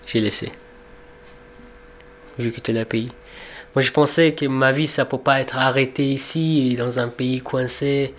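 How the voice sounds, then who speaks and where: A man's voice: medium pitch, steady tone. Young man, reflective cook telling his story. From Afghanistan. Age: 20s. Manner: reflective, unhurried, with searching pauses and restarts. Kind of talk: personal storytelling for a documentary portrait.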